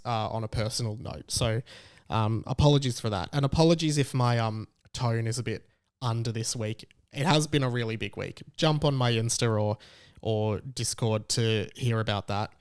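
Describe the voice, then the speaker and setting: A man in his late twenties with an Australian accent, hosting a casual solo podcast in halting phrases, stretching out key words for emphasis. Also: mid-range voice, clean tone, dry recording, clean studio sound. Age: late 20s. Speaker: podcast host.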